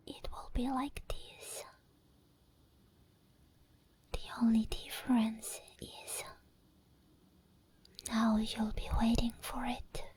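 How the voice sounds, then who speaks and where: Slow whispering obsessed demon girl, played by a woman. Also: on the high side, darkish tone. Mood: possessive, hypnotic. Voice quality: breathy whisper. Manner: slow, deliberate, coaxing and controlling. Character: obsessed female sleep paralysis demon, possessive, teasing, menacing. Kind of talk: whispered ASMR roleplay.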